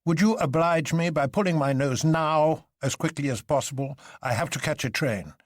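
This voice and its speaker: A man voicing an imperious high-pitched nobleman, insistent. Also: moderate pace, no room sound, clean studio sound, natural voice. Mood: strained patience. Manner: formal, commanding, insistent. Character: imperious, exasperated nobleman marquis, male, urgently insistent. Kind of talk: dramatised audiobook character voice.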